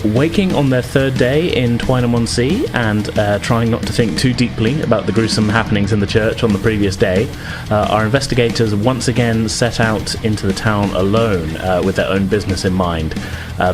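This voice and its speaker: A man hosting a tabletop gaming podcast as game master, talking in an informal, conversational way. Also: lowish voice. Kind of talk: casual podcast intro, recap and game narration.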